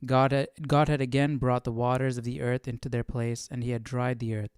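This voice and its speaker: A man hosting a live study stream in casual chat, reading aloud and thinking out loud with informal, slangy questions. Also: medium pitch, little pitch movement, fairly quick, clear, resonant tone, dry recording, studio quality.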